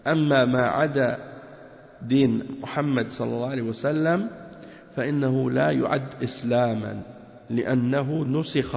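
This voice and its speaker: Gravelly man teaching with a cold. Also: mid-range voice. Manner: reads Arabic passages fast, then explains them slowly in French; halting, repeats words and corrects himself. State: has a cold. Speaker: teacher of Quran exegesis. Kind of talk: religious lesson. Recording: dull lo-fi recording with long reverb.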